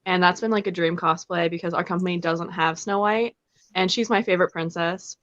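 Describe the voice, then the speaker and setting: A woman's voice: fairly even intonation, fairly quick, a bit bright, no room sound, highs cut off. A young woman guest on a video call, a character performer, answering hesitantly with many ums and likes. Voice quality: deep voice. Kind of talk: casual interview chat.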